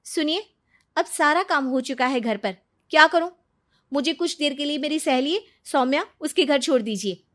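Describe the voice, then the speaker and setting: A woman plays a cartoon young housewife who answers back with a sharp tongue. Her voice is very high and swoops animatedly as she complains in exasperation. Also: expressive, moderate pace. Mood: pleading. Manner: indignant, complaining, sarcastic.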